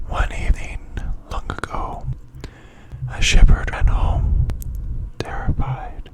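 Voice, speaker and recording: A man reading a children's bedtime story in ASMR style, in a soft, breathy, unvoiced whisper with a hushed delivery. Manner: slow, hushed, phrase-by-phrase delivery.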